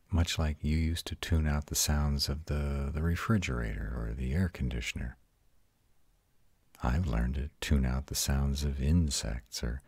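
A man plays a proud vampire comforting his mate in an intimate audio roleplay. His voice is extremely low and thoroughly husky, unfolding slowly and softly with tender, protective care. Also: no room sound, full character voice. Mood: patient, soothing. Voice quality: very deep, husky, often breathy whispering. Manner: slow, hushed, soothing. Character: arrogant male vampire, tender and protective lover. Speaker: voice actor.

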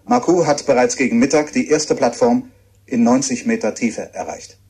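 An actor playing an earnest radio reporter giving a measured, factual news report within a radio drama. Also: male voice, medium pitch, a little mellow, slightly rough, natural voice.

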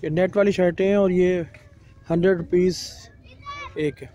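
Male market vlogger, high-pitched, friendly, outdoors on a handheld phone. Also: fairly even intonation, normal speed, mellow, a little hiss or hum. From Pakistan. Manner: friendly, asks prices, promotes his channel. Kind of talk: casual walk-around vlog, bargaining with vendors. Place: outdoor open-air street market.

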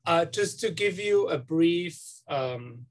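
Halting Swiss man on a video call. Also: fairly high pitch, animated. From Switzerland. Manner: halting, many fillers and restarts. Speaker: student interviewer. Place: home office. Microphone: laptop webcam on video call.

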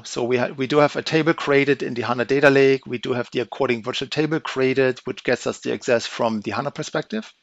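Man walking through a software demo. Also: medium pitch, dry recording. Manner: methodical, step-by-step explaining. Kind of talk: screen-recorded software walkthrough. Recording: dry, slightly dull audio.

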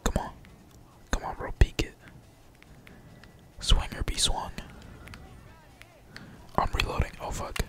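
A man whispering casual, slangy and sweary ASMR gaming commentary in a breathy, playful mutter, as a streamer talking to viewers. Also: slow, long pauses. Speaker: gaming streamer, channel host.

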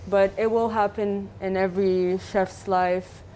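A woman's voice: mid-range voice, level tone, a little slow, mellow, slight background noise. A young woman from Lebanon, a student chef, talking candidly about kitchen work on a live cooking show. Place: large teaching kitchen studio.

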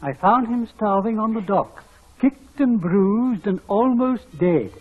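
An actor playing a kindly father and master of the house in a 1940s radio drama, with a husky voice. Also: sits high, expressive, slow pace, soft background music, heavily acted. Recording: tinny narrow old radio sound.

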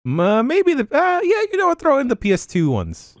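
A man's voice: extremely high pitch, wildly swooping pitch, brisk, no echo. A man chatting casually as a podcast panelist, close on a microphone. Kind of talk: casual chat. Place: indoor room, close mic. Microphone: microphone on boom arm, headset.

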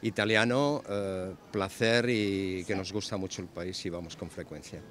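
A man's voice: deep voice, steady tone, unhurried, fairly bright tone. An older man answering a street interview outdoors, terse and listing. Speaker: passerby. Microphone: handheld mic.

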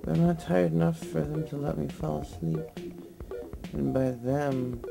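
A sleepy young man lying on a floor, talking in a drowsy, rambling, deadpan way into a nearby webcam. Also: a little high, unhurried, creaky, music in the background. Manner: drowsy, mumbling, deadpan with sudden laughs. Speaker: vlogger. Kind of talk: rambling late-night webcam monologue. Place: lying on a floor in a room indoors.

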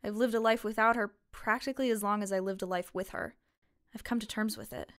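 An actress playing a calm, matter-of-fact woman of twenty-two in a fiction podcast. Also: medium pitch, fairly quick, close to an everyday voice. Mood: blunt, composed. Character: blunt, composed young woman of twenty-two, sister of missing girl. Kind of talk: conversational audio drama acting.

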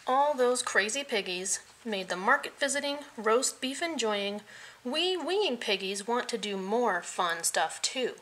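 A woman reads a children's picture book aloud with playful expression. Her voice is bright and fairly high, and she takes her time over the words. There is some light noise in the recording. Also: measured pace, poor audio. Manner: expressive, playful, with vocal sound effects.

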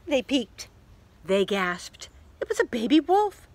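A middle-aged woman reading a picture book aloud to children outdoors in an expressive way, pausing to ask questions. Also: on the high side, slight background noise. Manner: expressive, asks listeners questions. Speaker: library story reader. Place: outdoors in a leafy yard.

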